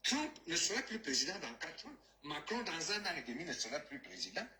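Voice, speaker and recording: A man's voice: fairly high pitch, very animated, fairly quick, pushed and bright. A Cameroonian man ranting about politics, mocking and scornful, and mixing in local-language phrases. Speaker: caller, diaspora activist. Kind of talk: heated political rant.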